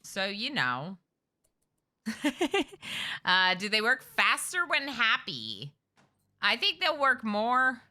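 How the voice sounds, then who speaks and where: A woman streaming a game live, chatty and excitable, talking to her characters as she plays at home. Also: on the high side, slow pace, pauses often. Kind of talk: live gameplay commentary.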